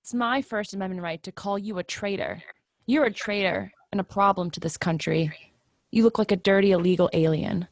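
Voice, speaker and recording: A woman's voice: medium pitch, brisk, close to an everyday voice. A woman as an indignant, scornful commuter. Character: irate, indignant female ferry commuter. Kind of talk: screenplay table read.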